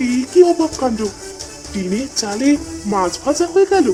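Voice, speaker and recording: A woman's voice: on the high side, very animated, normal speed, over music. A woman playing an astonished village wife and mother in a cartoon. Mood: startled. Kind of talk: cartoon voice acting.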